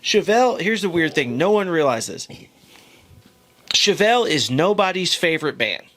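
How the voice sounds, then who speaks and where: Man, podcast host, somewhat high voice, rambling casual chat, frequent restarts, casual swearing. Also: sing-song, moderate pace, a bit bright. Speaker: podcast host and band member. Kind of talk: casual chat about music.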